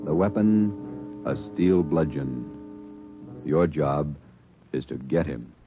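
A male announcer opening an old radio crime drama in a grave, measured delivery. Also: a bass voice, lively intonation, music in the background. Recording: muffled old radio broadcast.

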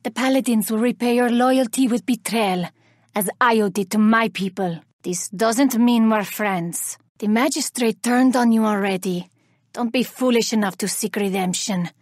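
An actress playing a vengeful, haughty elven woman warrior in a video game, speaking with deliberate, theatrical menace. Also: on the high side, darkish tone, dry recording, studio quality. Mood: bitter, menacing. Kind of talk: video game character voice lines.

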